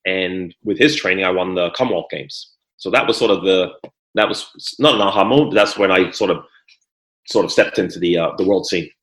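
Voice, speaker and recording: Low-voiced middle-aged guy from guyana, raised in england, chatting as a podcast guest, stumbles and repeats words, roomy echo. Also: a little fast, fairly bright tone. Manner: easygoing, repeats words when starting sentences. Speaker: former Olympic hurdler and trainer, guest. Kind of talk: conversational interview. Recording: echoey room.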